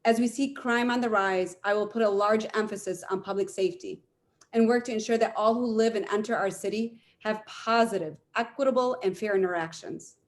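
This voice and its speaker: A woman speaks as a candidate at a forum, with a mid-pitched voice. Her delivery is formal, earnest and measured, leaning on repeated phrases as in a prepared campaign speech. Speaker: mayoral candidate giving closing statement.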